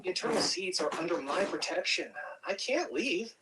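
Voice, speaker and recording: A man's voice: high-pitched, expressive, normal speed, sharp tone. A man playing a young, humble gardener who guards sacred seeds in a fantasy script reading. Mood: earnest. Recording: roomy echo, distant mic, faint noise.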